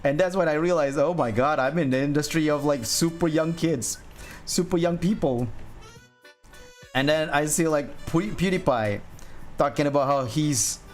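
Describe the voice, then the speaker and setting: A man's voice: normal speed, faint music behind. A man in his forties chatting casually on a livestream, rambling and laughing often. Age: 40s. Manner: rambling, laughs often, mimics people in a high voice. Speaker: VTuber streamer. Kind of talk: casual chat while drawing on stream.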